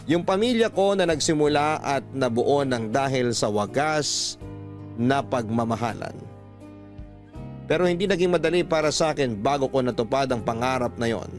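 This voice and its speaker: A man with a Filipino accent narrating as a radio host, in a scripted, dramatic, measured storytelling style. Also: mid-range voice, animated, soft background music. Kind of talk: scripted dramatic narration, reading a listener's letter.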